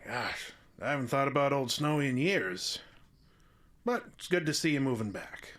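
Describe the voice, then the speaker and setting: A man's voice: mid-range voice, lively intonation, normal speed, fairly bright tone, lightly acted. An actor playing a wry, neighborly small-town handyman with a folksy, easygoing manner in an audio drama. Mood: friendly, folksy. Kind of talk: naturalistic radio drama acting.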